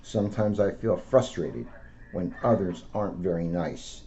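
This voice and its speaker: A man, a police officer, reading aloud to children in simple, deliberate phrases. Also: sits low, expressive, warm tone, a little hiss or hum. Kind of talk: read-aloud storytelling with casual asides. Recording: dull lo-fi sound.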